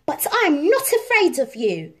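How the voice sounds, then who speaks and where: A woman's voice: high voice, wildly swooping pitch, a little slow, pitched above the performer's own voice, exaggerated voice. A woman voicing a plucky, defiant young jungle boy in a children's storybook, eager and emphatic.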